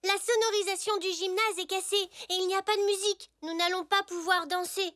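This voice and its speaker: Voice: very high voice, steady tone, brisk, a bit bright. A performer voicing an eager, pleading young boy zebra in a children's cartoon, with earnest delivery. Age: child figure. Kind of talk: animated children's series dialogue. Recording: music behind the voice.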